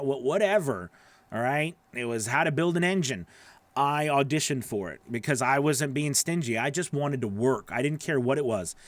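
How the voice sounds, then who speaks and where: A man's voice: medium pitch, no echo. A man in his forties giving casual livestream advice in an emphatic, encouraging way, repeating phrases for stress, close on a studio microphone. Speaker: host, voice-over coach. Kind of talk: casual livestream advice, answering viewer questions. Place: small home studio, indoors. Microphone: close studio microphone on boom arm.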